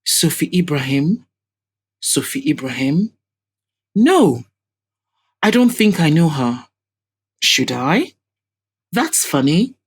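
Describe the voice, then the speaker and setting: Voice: mid-range voice, big pitch swings, takes it slowly, pauses often, breathy edge, full character voice. A woman voicing a sinister, wealthy older man with a haughty, formal and evasive manner in an audio drama. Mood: indignant.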